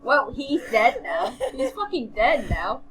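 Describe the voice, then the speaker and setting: Playful girl hosting a read-along, joking and repeating herself, high voice, very up and down, takes it slow. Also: wildly swooping pitch, a crowd in the background. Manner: playful, repeats words and phrases. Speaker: co-host and reader on an amateur book read-along stream. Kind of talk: casual joking commentary.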